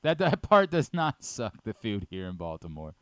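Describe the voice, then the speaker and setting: A man's voice: lowish voice, huge pitch swings, normal speed, fairly bright tone, no room sound, clean studio sound. A man with an American accent hosting a conversational sports radio interview.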